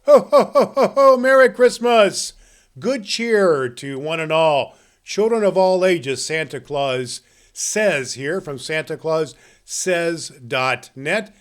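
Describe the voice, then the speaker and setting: A man's voice: fairly high pitch, lively intonation, takes it slowly. A man playing a jolly, kindly old Santa Claus, hosting a festive podcast and reading storybook tales in a hearty, grandfatherly way. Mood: booming jolly. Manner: hearty, grandfatherly, addressing children warmly.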